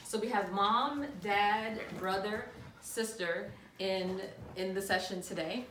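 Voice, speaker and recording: A woman acting as a family therapist, speaking calmly and asking measured, reflective questions. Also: medium pitch, reverberant, slight background noise, poor audio. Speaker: therapist in a role-played session. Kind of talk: counseling session role play.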